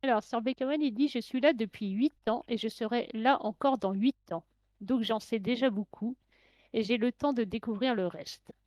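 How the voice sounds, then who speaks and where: A woman's voice: on the high side, normal speed, darkish tone, no echo, muffled recording. A woman with a French accent, co-hosting a casual stream, laughing and protesting teasing. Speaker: co-host. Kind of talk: casual live stream chat.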